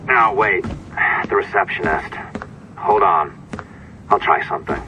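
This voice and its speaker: Deep-voiced sneering schemer, muffled and noisy. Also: male voice, slow pace, sharp tone, full character voice. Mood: bossy. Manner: sarcastic, taunting, conspiratorial. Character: sneering scheming man, hacker blackmailing the listener, taunting. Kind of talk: video game voice acting.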